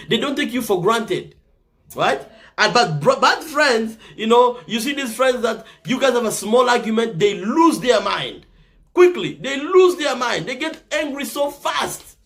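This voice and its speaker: A man's voice: high voice, fairly bright tone. A man from the Congo with a Congolese accent, giving earnest casual advice to viewers and often checking in with "right?". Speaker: vlogger giving life advice.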